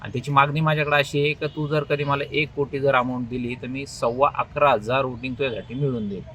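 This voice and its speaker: A man's voice: medium pitch, fairly even intonation, fast talker, fairly bright tone, slight background noise, rough recording. A man with a Maharashtrian accent, speaking informally as an election candidate. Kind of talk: informal phone call and spoken public statement.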